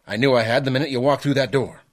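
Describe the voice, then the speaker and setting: An actor in a radio drama playing a brash, self-satisfied investigator with an emphatic way of talking. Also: male voice, medium pitch, fast, close to an everyday voice. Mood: triumphant.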